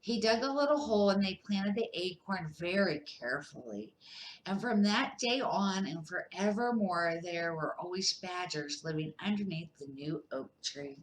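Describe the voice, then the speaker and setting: An older woman reading a children's picture book aloud in an expressive, deliberate storytelling manner. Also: lowish voice, a little hiss or hum, low-quality recording. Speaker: amateur picture-book reader for grandchildren.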